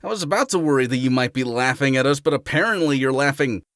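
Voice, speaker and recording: A man's voice: mid-range voice, quick pace, a bit bright, no echo, clean studio sound, natural voice. A man playing a cocky, goofy young blue hedgehog hero with slangy, laid-back delivery in a fan audio drama. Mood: easygoing.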